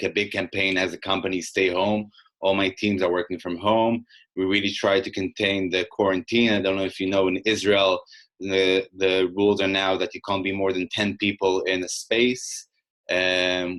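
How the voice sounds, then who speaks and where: Webinar presenter: man, lowish voice, chatty, heard over a video call. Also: a bit bright, low-quality recording. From Australia, half. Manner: conversational, many fillers, presenting slides. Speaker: webinar speaker.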